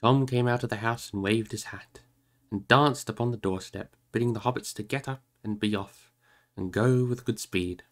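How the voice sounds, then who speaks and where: A man's voice: fairly low pitch, moderate pace. A man narrating a book aloud on a livestream, moving between storybook reading and casual chat with listeners.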